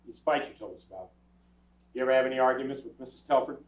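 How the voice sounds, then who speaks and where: A man's voice: mid-range voice, racing, close to an everyday voice. An older man playing a terse, businesslike police detective in a staged radio crime drama, speaking in a matter-of-fact, procedural manner. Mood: probing, curt. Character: businesslike, clipped male police detective narrating his case. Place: theatre stage before an audience. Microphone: far camera. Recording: echoey theatre from far off, tinny.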